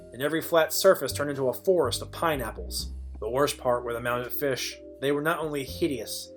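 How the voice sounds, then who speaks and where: An actor playing a practical private detective, narrating a noir audio play in a deadpan, wry way. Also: male voice, medium pitch, lightly acted. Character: dry, practical hardboiled male private detective. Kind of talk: scripted noir first-person narration.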